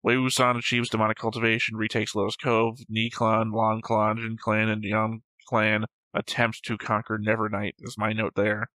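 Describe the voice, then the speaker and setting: A man hosts a casual reaction discussion in a bright, forward voice. His pitch stays fairly level and his delivery is matter-of-fact. The sound is dry, with little room on it. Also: monotone, moderate pace, few pauses, no room sound, low-quality recording. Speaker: reaction show host. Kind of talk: casual discussion of an animated series.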